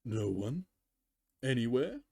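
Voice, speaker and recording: A man's voice: mid-range voice, big pitch swings, slow, gravelly, dark tone, husky, no room sound, clean studio sound, exaggerated voice. A man voicing a cheerfully callous young female cartoon pony in a nonchalant, matter-of-fact way.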